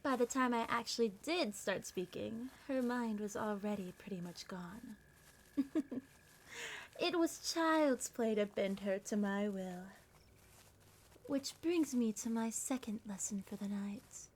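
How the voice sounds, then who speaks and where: Woman playing a slow-talking, haughty vampire girl. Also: fairly high pitch. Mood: smug, amused. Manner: condescending, teasing, slow hypnotic murmurs and whispers. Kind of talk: ASMR roleplay.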